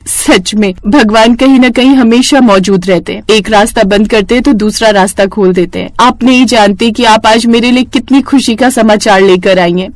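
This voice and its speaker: A woman's voice: on the high side, normal speed, hardly any pauses, no room sound, faint music behind, close to an everyday voice. Woman voicing a humble, earnest housemaid, dry sound. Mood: grateful, relieved. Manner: polite, deferential, earnest. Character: humble, earnest poor housemaid, a grateful daughter-in-law. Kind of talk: Hindi cartoon story dialogue.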